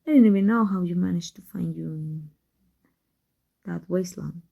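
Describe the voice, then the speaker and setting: Woman acting a husky, embittered villainess in love. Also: on the low side, moderate pace, narrow, tinny audio. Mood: tender, hurting. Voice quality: husky, breathy, often dropping to a whisper. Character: embittered female villain secretly in love with her rival. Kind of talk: ASMR roleplay, dramatic monologue.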